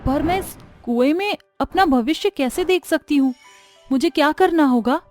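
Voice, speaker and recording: A poor, worried village mother from a moral storybook tale, played by a woman. Her voice is high and her manner anxious and questioning, with light music behind it. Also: moderate pace, darkish tone, dry recording, natural voice.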